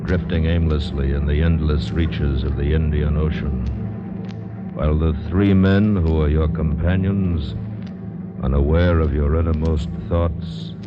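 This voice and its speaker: A man narrating a dramatic trailer for a radio adventure show, slow and ominous. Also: a bass voice, steady tone. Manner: slow, ominous, second-person address. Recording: dull old broadcast, loud music.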